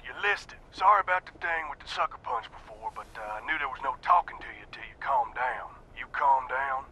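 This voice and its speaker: An actor playing a rough, wily middle-aged fugitive in a video game, gruff and taunting. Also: male voice, mid-range voice, fairly quick, pushed and bright, slight background noise.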